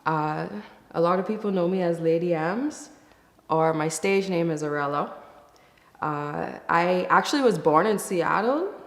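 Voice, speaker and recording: A woman from the Bahamas giving casual, reflective interview answers in a thoughtful, candid way, with pauses as she thinks. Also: lowish voice. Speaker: interview guest, musician. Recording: echoey, reverberant room.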